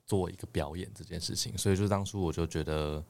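Fast-talking, deep-voiced young Taiwanese man. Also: fairly even intonation, gravelly, a little mellow. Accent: Taiwanese Mandarin. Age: young adult. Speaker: guest, idol trainee. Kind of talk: casual interview chat.

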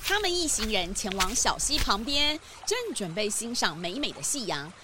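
A woman with a Taiwanese accent narrating a children's audio story in an expressive storytelling manner. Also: mid-range voice, moderate pace, background noise.

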